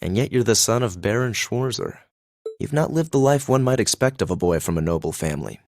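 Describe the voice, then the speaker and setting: An actor playing a reserved, cynical young nobleman in a video game, speaking formally and with dry, measured phrasing. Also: medium pitch, lively intonation, darkish tone, dry recording, natural voice. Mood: calm, curious. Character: reserved, cynical young illegitimate son of a duke. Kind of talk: English-language game voice acting.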